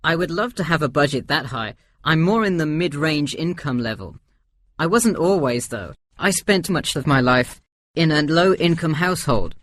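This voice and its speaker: A man's voice: a little high, expressive, moderate pace, a bit bright, no echo. A man playing an easygoing middle-aged tourist who gives agreeable, explanatory answers in a scripted listening-test dialogue. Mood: relaxed, candid. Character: easygoing forty-one-year-old male tourist answering survey. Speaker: survey respondent.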